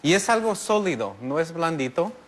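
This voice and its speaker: Guest, 30s male, relaxed and witty, lapel mic in a studio. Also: a little high, normal speed. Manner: easygoing, jokes back, describes objects step by step. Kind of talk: casual TV interview. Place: large TV studio set. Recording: faint studio noise.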